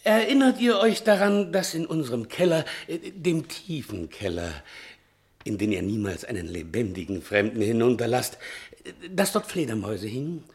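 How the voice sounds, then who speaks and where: A man's voice: medium pitch, sing-song, normal speed. An actor playing a frightened, shifty manservant in a German radio play, pleading and hesitant, stumbling over his excuses. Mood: stammering, stalling. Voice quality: stammering, hesitant. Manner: pleading, servile, theatrical. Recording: vintage 1960 radio studio recording.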